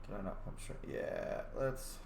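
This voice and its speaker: A young man casually commenting on a video game as he plays, muttering to himself with excited bursts. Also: unhurried, background noise. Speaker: gamer. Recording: echoey room.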